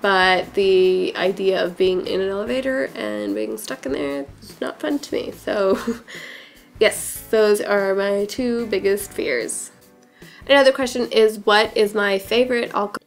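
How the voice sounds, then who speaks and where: Woman, noticeable vocal fry, medium pitch, casual chatty Q&A delivery, faint music behind. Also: unhurried. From born in Portugal, raised in California from about age four. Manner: chatty, informal, peppers talk with "like" and "literally". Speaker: hairdresser and beauty vlogger answering questions.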